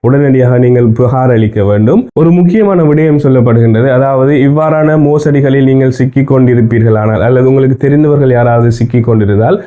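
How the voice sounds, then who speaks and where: Very dark-voiced man, Sri Lankan Tamil accent. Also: mid-range voice, fast talker, few pauses. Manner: formal, methodical explaining. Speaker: presenter of an explainer report. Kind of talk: scripted news explainer narration.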